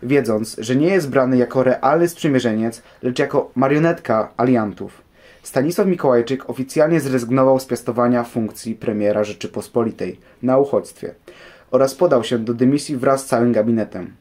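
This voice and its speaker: A man's voice: mid-range voice, normal speed, a little mellow. A young man giving scripted history commentary as a guest on an audio programme.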